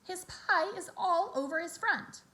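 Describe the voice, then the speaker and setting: A woman's voice: very high-pitched, a little slow, bright, forceful tone, voice pushed up, full character voice. A woman voicing a bossy, complaining young farm girl with an exasperated, insistent manner in a storybook.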